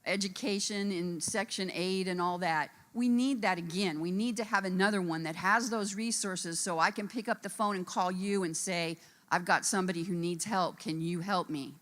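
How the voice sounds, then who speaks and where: A woman's voice: lowish voice, normal speed, few pauses. A woman on a conference panel who works helping incarcerated women, speaking earnestly and addressing the audience directly in a conference room. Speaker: conference panelist, reentry support worker.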